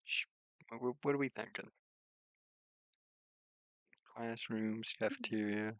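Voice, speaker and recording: A man's voice: fairly low pitch, steady tone, slow pace, a bit bright, no echo. Man streaming and narrating, dry sound. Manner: informal, breaks off into asides. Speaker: streamer and visual novel developer. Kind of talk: casual stream chat mixed with read-aloud narration.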